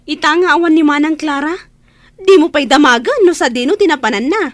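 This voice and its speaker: A woman's voice: sits high, fairly quick, dry recording, lightly acted. A woman acting a blunt, exasperated younger sister in a radio drama, reproachful, with an Ilocano accent.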